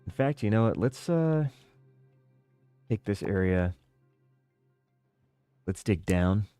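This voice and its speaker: A man giving casual, musing commentary while playing a building game, thinking aloud with relaxed asides. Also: lowish voice, slow pace, smooth, no echo, faint music behind. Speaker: gaming video creator.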